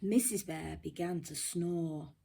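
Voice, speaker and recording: A woman reads a storybook to young children at a slow, careful pace. Her voice is slightly husky, and she often sinks to a hushed near-whisper. She is expressive and pauses to put questions to her listeners like a teacher. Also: on the low side. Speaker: primary school teacher reading a storybook.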